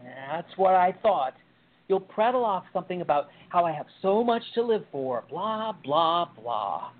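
A man acting in a radio play as a despondent young man with a husky voice, hesitant and defensive, sometimes stammering. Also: sits high, sing-song, measured pace, heavily acted. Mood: defensive, startled. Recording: tinny, phone-like narrow-band audio.

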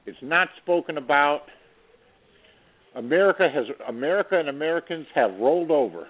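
A man's voice: on the high side, animated, moderate pace. Exasperated man on tinny talk radio. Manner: earnest, exasperated, rambling with fillers. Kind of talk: casual political talk radio chat. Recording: tinny phone-like radio audio.

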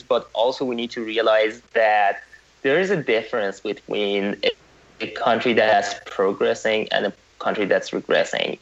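A man from Iran, a podcast guest talking in a casual discussion, animated, with frequent restarts and fillers. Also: medium pitch. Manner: animated, many restarts and fillers, laughs mid-sentence. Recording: dull, slightly lo-fi remote audio.

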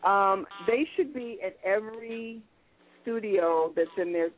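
A woman manager who is a guest on a radio show, giving measured, practical advice. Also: mid-range voice. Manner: measured, practical, explaining step by step. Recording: tinny phone line.

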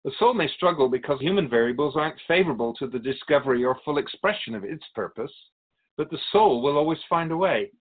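Man acting as serene keeper of souls, spirit, animated intonation, measured and quizzical, radio drama, slightly dull audio. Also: a little high. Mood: patient teaching. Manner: measured, explanatory, quizzical about human speech. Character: Keeper of Souls, calm otherworldly male spirit guide. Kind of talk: radio drama dialogue as interview guest.

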